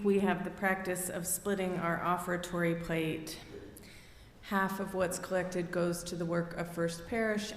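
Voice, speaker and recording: A woman reading offertory announcements at a worship service in a steady, read-aloud way. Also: lowish voice, a little hiss or hum. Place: church sanctuary. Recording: long hall reverb.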